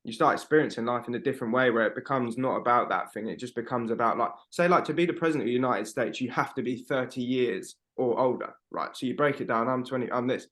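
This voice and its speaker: A young man in his twenties on a video call from home. He rambles and presses his points hard, swearing often and tossing in "bro" at every turn. His voice sits at an ordinary middle pitch. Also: fast talker, hardly any pauses, a bit bright. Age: 20s. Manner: rambling, emphatic, swears a lot, fillers like "bro". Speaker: podcast co-host. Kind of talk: casual chat.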